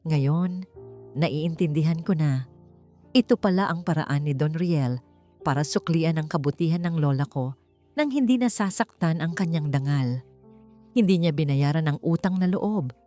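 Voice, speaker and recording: A woman narrator plays a dignified, wounded young Filipino man in a dramatic story. The voice is low and dark-toned, speaking with solemn, deliberate earnestness over faint music. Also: lively intonation. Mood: earnest, reflective. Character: dignified young male architect, wounded and devoted grandson.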